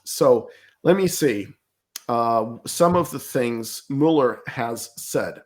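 A man's voice: medium pitch, animated, slow pace. Emphatic man. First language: German. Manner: emphatic, argumentative, often repeats words as he searches. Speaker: guest commentator. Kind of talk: interview commentary.

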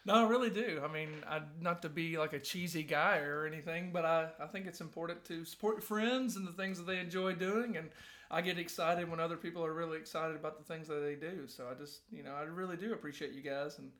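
Male podcast guest, earnest and friendly, fairly high voice, casual chat. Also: steady tone, fast talker, fairly bright tone. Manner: earnest and friendly, with many fillers like "you know" and "I mean". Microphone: sharing one microphone with the host.

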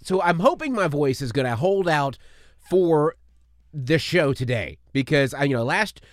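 A man hosting his podcast in a chatty, upbeat, rambling style, his voice a touch strained. His pitch sits fairly high and moves a lot. The studio sound is close and dry. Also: expressive, a little slow, no room sound, faint music behind. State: slightly strained voice from allergies. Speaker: podcast host. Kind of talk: casual podcast monologue.

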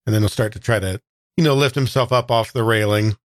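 A man's voice: lowish voice, fast talker, no echo. A man taking part as a player in a tabletop game, casually explaining what his character does.

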